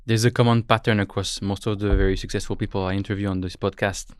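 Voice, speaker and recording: A man hosts a podcast, asking his guest slow, probing questions. His voice sits low, and the recording is dry with no room sound. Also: level tone, smooth, no echo. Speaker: podcast host. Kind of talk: interview questions.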